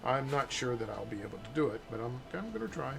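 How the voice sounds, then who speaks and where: Man, live-stream sculptor, casual joking chat, gravelly edge, music bed. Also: medium pitch, quick pace. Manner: relaxed, joking, thinking aloud while sculpting. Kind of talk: casual chat while working.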